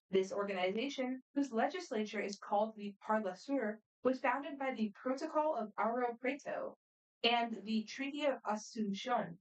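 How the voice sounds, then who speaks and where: A woman moderating a student quiz competition, reading questions aloud in a brisk, friendly, matter-of-fact way. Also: a little high. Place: competition room. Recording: voice picked up from a distance.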